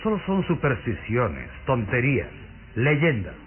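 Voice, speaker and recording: An actor playing a sinister, coldly courteous aristocratic count in a radio drama, suave, measured and theatrical. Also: male voice, mid-range voice, wildly swooping pitch. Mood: ominous, dismissive. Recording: tinny lo-fi vintage radio recording with music.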